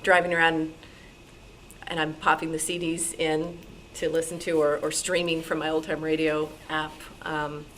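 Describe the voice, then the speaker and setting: A woman chats casually as a panelist at a hobby club meeting. Her voice sits fairly low and stays very even. Faint noise runs through the recording. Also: normal speed. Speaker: panelist, old-time radio fan. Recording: noisy live meeting room recording.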